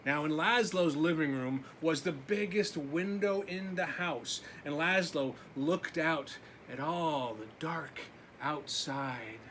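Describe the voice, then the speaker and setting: A man reading a children's story aloud expressively, with deliberate, dramatic pauses. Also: fairly high pitch, a bit bright, slight background noise. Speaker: children's story reader, teacher.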